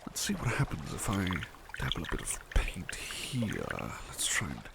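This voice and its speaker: A man playing a devoted, tender butler in an ASMR roleplay, murmuring softly and a little hesitantly to the listener. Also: deep voice, huge pitch swings, normal speed, vocal fry, heavy background noise, full character voice. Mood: gentle, absorbed. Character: devoted, tender male butler who loves painting.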